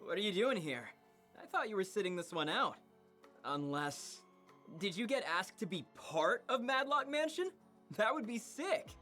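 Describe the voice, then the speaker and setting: A man's voice: high-pitched, sing-song, normal speed, pushed and bright, soft background music, exaggerated voice. A man playing an earnest, defensive, relentlessly upbeat boy in a visual novel, easily wounded. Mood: upbeat, curious.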